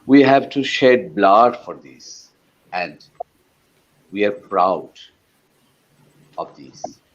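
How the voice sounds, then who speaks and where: A poet from Bangladesh introducing himself in slow, halting speech before a recitation. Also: male voice, fairly low pitch, expressive, highs cut off. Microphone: video call.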